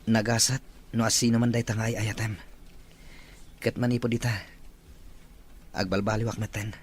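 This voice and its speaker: A man with an Ilocano Filipino accent playing an earnest, excitable young son in an audio drama. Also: lowish voice, moderate pace, close to an everyday voice. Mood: regretful, earnest. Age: young adult. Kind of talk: radio-drama dialogue.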